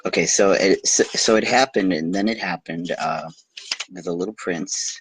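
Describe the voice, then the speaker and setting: A man reading a bedtime story aloud and loosely translating it as he goes, halting and breaking off for amused asides. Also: sits low, husky, low-quality recording. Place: recording himself on video.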